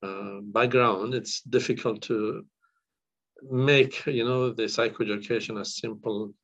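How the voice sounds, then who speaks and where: Man, middle-aged, low-pitched, halting with fillers, muffled lo-fi recording. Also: steady tone, vocal fry, fairly bright tone. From northern Iraq. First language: Kurdish. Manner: hesitant, many fillers and restarts. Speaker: counsellor. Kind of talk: podcast interview. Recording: dull lo-fi audio.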